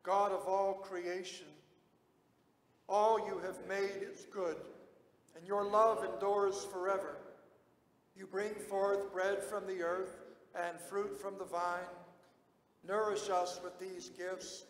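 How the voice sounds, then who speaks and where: Middle aged pastor guy praying, high voice, slow and even, echoey church. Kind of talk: liturgical prayer. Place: large church sanctuary, indoors. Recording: echoey church with long reverb.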